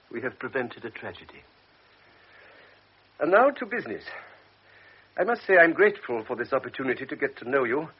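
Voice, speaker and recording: An actor playing a calm, authoritative older doctor in a radio drama, speaking in a measured, persuasive way. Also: male voice, medium pitch, slightly rough. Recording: muffled old radio recording.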